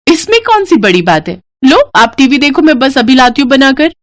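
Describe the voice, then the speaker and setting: A woman's voice: high-pitched, big pitch swings, moderate pace, no room sound, voice pushed up. A sly, lazy young wife from a storybook tale, put on by a woman narrator. She wheedles glibly in a raised, swooping voice, falsely sweet, recorded close and dry. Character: sly, lazy young married twin sister. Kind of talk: acted dialogue in a Hindi moral story.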